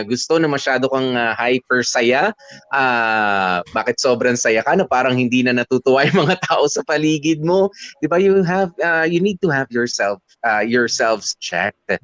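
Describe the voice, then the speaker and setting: Male Filipino radio co-host, medium pitch, conversational with halting delivery, recorded dry with slightly muffled fidelity. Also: fairly bright tone, no echo. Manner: halting, conversational, code-switching between two languages. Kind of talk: casual advice talk.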